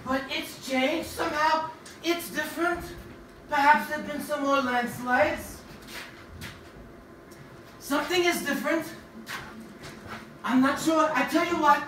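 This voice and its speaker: A woman's voice: high voice, fairly even intonation, unhurried, long pauses, a bit bright. A woman acting an obsessed, secretive professor in a stage version of a radio play, with a dramatic, emphatic delivery. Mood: intent, excited. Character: obsessed, secretive professor hunting a buried ancient machine. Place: theatre stage, picked up from afar. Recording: roomy, distant stage recording with faint noise.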